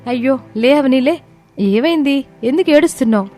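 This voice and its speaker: A woman voicing a kind, forgiving elder sister-in-law who speaks gently and consolingly in a family story. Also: sits high, lively intonation, moderate pace, soft background music. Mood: concerned.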